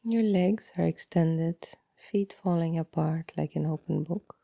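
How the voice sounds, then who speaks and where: A woman leading a guided body scan meditation in a soft, breathy voice, calm and unhurried, often trailing into a near-whisper with long pauses. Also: deep voice, no room sound, studio quality.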